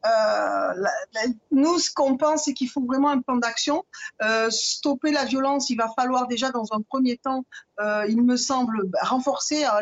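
A woman lawyer, speaking for a victims' collective, joins a debate by video call. She answers in a measured way with frequent fillers. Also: fairly high pitch. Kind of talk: studio debate guest. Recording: muffled dry video call.

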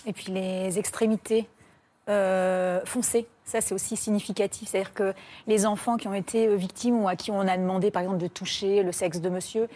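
A woman's voice: mid-range voice, steady tone, fairly quick, mellow. A woman, a guest on a television talk show, explaining a point with fillers along the way. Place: television studio.

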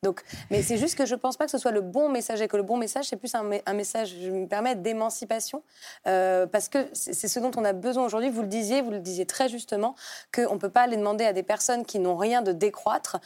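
A woman's voice: mid-range voice, a little fast, warm tone. A young woman from Paris, speaking off the cuff in a panel debate, polite but insistent. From Paris, France. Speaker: panel guest, ecology advocate.

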